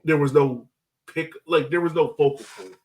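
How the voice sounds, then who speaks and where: A man's voice: fairly high pitch, normal speed. A man co-hosting a podcast in casual sports chat, speaking in a loose, informal way full of filler words. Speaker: podcast co-host. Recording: distant from the microphone.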